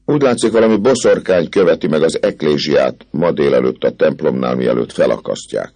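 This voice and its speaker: A man's voice: extremely low pitch, expressive, a little mellow, dry recording, pitched above the performer's own voice, full character voice. A man playing a coquettish young noblewoman. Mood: matter-of-fact. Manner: pouting, questioning.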